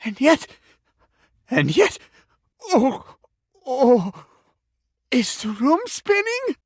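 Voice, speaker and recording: An enchanted male sink in a video game who believes he is a grand claims adjuster. He is pompous and melodramatic, with florid formal diction. His pitch leaps about freely, and the sound is close and dry. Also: huge pitch swings, drawn-out words, slightly rough, dry recording, heavily acted. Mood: dazed, panicked. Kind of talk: video game character acting.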